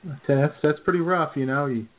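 A man's voice: a little high, a little fast. A man chatting casually as a radio co-host in a joking, teasing manner, over a narrow phone-like line. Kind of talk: casual chat.

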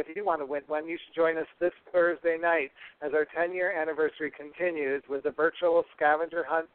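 A man's voice: a little high, clear, resonant tone, no room sound. A man hosting a podcast, chatting casually and making announcements in a run-on, chatty way. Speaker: podcast host. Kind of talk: casual live show chat, announcements. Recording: narrow, tinny phone-like broadcast line.

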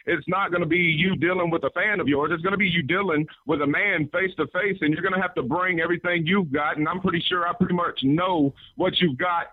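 A man's voice: high voice, a little fast, hardly any pauses, no room sound. Stammering young man. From Memphis, Tennessee. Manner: earnest, stammering, restarts words. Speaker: professional wrestler. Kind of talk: wrestling promo. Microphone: phone call. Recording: tinny phone line.

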